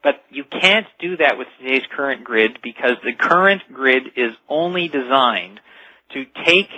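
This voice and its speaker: A man's voice: mid-range voice, animated, normal speed, bright, forceful tone, no echo. A man speaking as an expert guest in a radio interview, explaining things emphatically and step by step. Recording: dull lo-fi radio sound.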